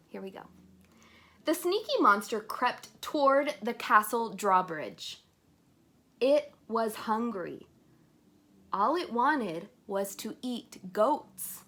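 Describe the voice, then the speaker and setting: A woman reads a children's story aloud in a bright, highly animated voice. She takes her time and speaks expressively, stopping to put questions to her young listeners. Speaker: children's book reader.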